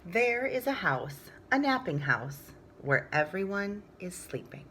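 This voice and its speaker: A woman teacher reading a picture book aloud to young children, with sing-song, drawn-out phrasing, hushed moments and explanatory asides. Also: medium pitch, very animated, slight background noise.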